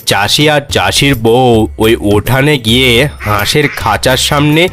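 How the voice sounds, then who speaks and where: A man's voice: mid-range voice, takes it slowly, a bit bright. Male cartoon storyteller over music. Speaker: narrator.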